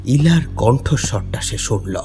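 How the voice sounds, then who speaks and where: A man tells a scripted horror story as its narrator. His mid-pitched voice is dark and mellow, moving expressively through suspenseful, dramatic delivery. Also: expressive, unhurried, creaky, faint music behind.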